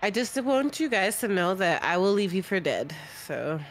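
A congested woman with a nasal voice, chatting with friends during a game, drawling slowly. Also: mid-range voice, sing-song, soft background music. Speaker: guest gamer on call. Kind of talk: casual chat.

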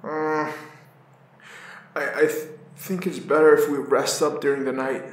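An actor playing a sardonic, anxious, foul-mouthed young male survivor who narrates in first person for an audio drama, often halting and stammering. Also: a little high, little pitch movement, echoey room, slight background noise, natural voice. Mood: nervous, hesitant.

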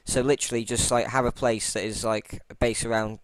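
Rambling young-sounding man, smooth dry voice. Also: medium pitch, level tone, fairly quick, no echo, low-quality recording. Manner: rambling, lots of fillers and restarts; self-deprecating. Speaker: tutorial channel host. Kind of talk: casual screen-recording tutorial.

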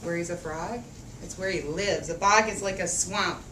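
A woman teacher reading a picture book aloud to young children, expressive and rhythmic, drawing out phrases and asking them questions. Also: lowish voice, moderate pace. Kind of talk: interactive storybook read-aloud. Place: echoey room with a group of children. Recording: echoey room, distant mic, faint noise.

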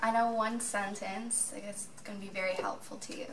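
Young woman, shy guest, gravelly fry, roomy distant sound. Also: mid-range voice, flat delivery, fairly quick, noisy background. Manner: shy, hesitant. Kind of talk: casual chat. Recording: roomy distant mic with audible clicks.

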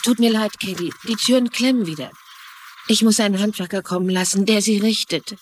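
Eine Schauspielerin spielt in einem synchronisierten TV-Drama eine höfliche, trauernde Witwe mittleren Alters mit gedämpfter Art. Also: female voice, mid-range voice, fairly quick, a little hiss or hum, lightly acted. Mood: subdued. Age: middle-aged. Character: polite, grieving middle-aged widow and mother. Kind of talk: dubbed TV drama dialogue in German.

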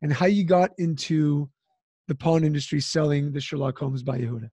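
A man's voice: on the high side, steady tone, a little fast, dark tone, slightly rough, no room sound. A man in his thirties hosting an interview podcast, speaking into a close studio microphone with a friendly, upbeat manner and long, loose questions. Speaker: podcast host.

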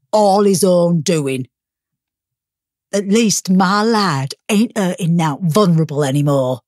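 A woman's voice: sits low, a little mellow, dry recording, clean studio sound, heavily acted. A woman playing a bitter, hard-drinking working-class mother with a Northern English accent, blunt and sweary, in a gritty audiobook drama. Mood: guarded, evasive.

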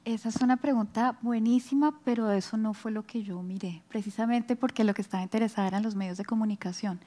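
Mellow, higher-pitched Colombian woman speaker. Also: steady tone, quick pace. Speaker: guest speaker, researcher. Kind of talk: answering audience questions after a lecture. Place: stage of a large auditorium. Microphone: headset.

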